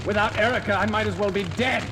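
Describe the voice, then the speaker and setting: Guy doing an anguished baron scientist, pretty high voice, very melodramatic, 70s horror record acting. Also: fairly even intonation, quick pace, noisy background, close to an everyday voice. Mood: desperate. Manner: melodramatic, exclaiming. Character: Baron Vincent Frankenstein, anguished, earnest male scientist. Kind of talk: 1970s horror audio drama acting.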